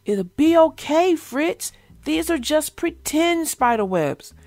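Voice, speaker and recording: A woman voicing a cheerful, excitable young girl hippo, an eager and encouraging big sister in a storybook. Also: high voice, slow, no echo, clean studio sound, soft background music, voice pushed up, full character voice. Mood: reassuring.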